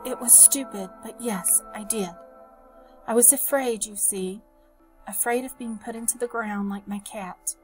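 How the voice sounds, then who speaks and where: A woman voicing a frightened, tender old woman in an audiobook, with a hoarse, breathy, halting whisper. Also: a little high, a little mellow, natural voice. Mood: sorrowful. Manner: halting, tearful, tender endearments. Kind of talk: audiobook character voice. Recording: music behind the voice.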